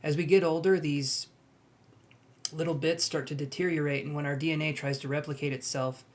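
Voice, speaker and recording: A young man reading tarot on a live stream, chatting and gently reassuring his viewers. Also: fairly high pitch, fairly even intonation, fairly quick. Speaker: tarot reader.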